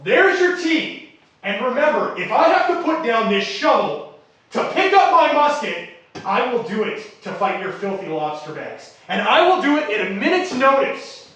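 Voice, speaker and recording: An actor playing a humble but rebellious colonial American farmer in a live stage play, with theatrical, exclamatory delivery. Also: male voice, very high voice, big pitch swings, sharp tone, heavily acted. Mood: defiant. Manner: theatrical, exclamatory, drawn-out emphasis. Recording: echoey hall, distant microphone.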